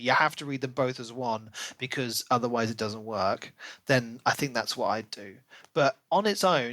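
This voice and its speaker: A man co-hosting a casual book podcast, giving opinions in an animated, emphatic way with sarcastic asides. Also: medium pitch, no echo. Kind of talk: casual book review chat.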